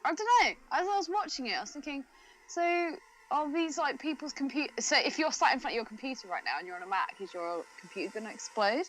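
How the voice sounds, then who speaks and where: A woman's voice: high voice, lively intonation, fast talker, a bit bright, poor audio. A woman co-hosting a podcast in casual, bantering chat. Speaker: podcast co-host.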